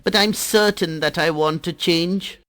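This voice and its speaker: Man playing a whiny water-drop boy, high and squeaky, slow pleading storybook voice. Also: fairly bright tone. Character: restless bored little boy water drop.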